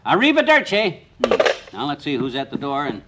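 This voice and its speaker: An actor playing a blustery, impatient small-town schemer of a husband in a 1950s radio comedy, talking in a colloquial, hurried way. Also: male voice, on the high side, wildly swooping pitch. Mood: flustered, loud. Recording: old radio recording, faint noise.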